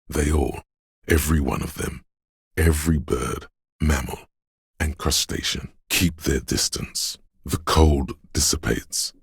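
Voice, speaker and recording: Brooding omniscient city spirit for a video game: gravelly, measured, poetic narration, recorded very dry and close. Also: male voice, no room sound, full character voice. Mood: solemn, atmospheric. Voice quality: gravelly, husky, very deep. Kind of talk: slow atmospheric second-person narration.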